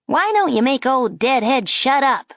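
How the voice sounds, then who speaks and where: A brash wooden dummy with a cheeky, nasty streak, as a woman puts him on, pitched high and loud above the table talk. The voice swoops around with mocking lilts, and every insult is thrown out for the whole room to hear. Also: sing-song, a little slow, dry recording, studio quality, heavily acted. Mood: taunting. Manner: loud, taunting, jeering. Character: rude brash male ventriloquist's dummy, insulting.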